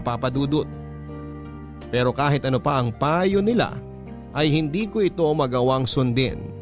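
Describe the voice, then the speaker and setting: Man narrating radio drama over prominent music. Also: mid-range voice. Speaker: narrator reading a listener's letter.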